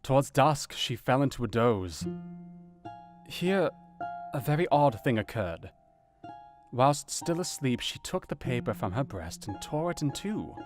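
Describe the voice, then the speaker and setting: An actor playing an anxious, educated doctor, narrating his diary in an audio drama in a measured, formal, earnest way. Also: mid-range voice, very animated. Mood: sombre, measured. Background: guitar music.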